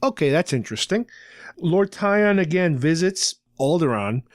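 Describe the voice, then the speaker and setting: Guy podcast host kinda rambling thru a story recap, voice a bit high, real dry sound. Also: big pitch swings, normal speed, no echo. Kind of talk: casual talk recapping a radio drama.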